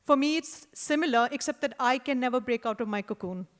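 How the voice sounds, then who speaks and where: A woman with an Indian accent, an artist reading a prepared lecture in a measured, deliberate way, with wry asides and hesitant fillers. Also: high-pitched. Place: lecture hall at an art event. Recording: long hall reverb.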